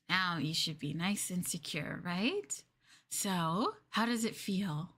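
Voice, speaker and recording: A woman voicing a sweetly possessive, obsessive young girlfriend in an ASMR roleplay, coaxing and cooing between soft speech and whispers. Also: mid-range voice. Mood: soothing, sly. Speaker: ENVtuber streamer performing ASMR roleplay.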